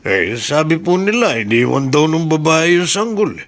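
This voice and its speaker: Radio drama underling: fairly high voice, hesitant, dutiful, respectful reporting. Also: male voice, animated, moderate pace. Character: deferential errand man reporting to his mayor. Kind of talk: Tagalog radio drama acting.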